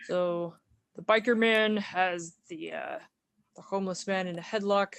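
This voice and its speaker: A woman narrating a group comic reading, describing scenes live in a halting way. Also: fairly low pitch, fairly even intonation, gravelly, clean tone, dry recording.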